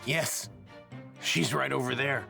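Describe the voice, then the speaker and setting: An actor playing an earnest, grave young warrior from a doomed future in an anime video game dub, speaking politely and deliberately. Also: male voice, mid-range voice, gravelly, pushed and bright, soft background music, full character voice. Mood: sincere.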